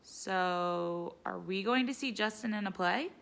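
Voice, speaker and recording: A woman's voice: medium pitch, unhurried, gravelly, a bit bright. A woman voicing an earnest, wide-eyed young schoolboy in a storybook, simple and candid. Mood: questioning.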